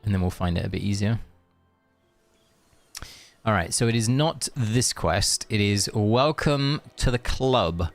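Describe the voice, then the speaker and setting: A man's voice: lowish voice, lively intonation, a little slow, vocal fry, pauses often, faint music behind. A man chatting casually on a game live stream, rambling and answering viewers as he plays. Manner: rambling, chatty, reads chat aloud.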